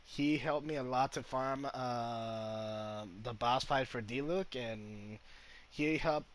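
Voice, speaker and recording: A man doing informal let's-play commentary, thinking aloud in a halting, casual way. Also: medium pitch. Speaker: gaming streamer. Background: game music.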